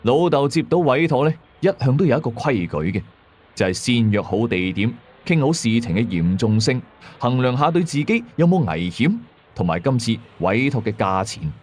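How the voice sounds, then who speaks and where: Expressive male horror storyteller, lively pitch. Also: normal speed. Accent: Cantonese. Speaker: storyteller, narrator. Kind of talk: scripted first-person horror narration. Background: faint music.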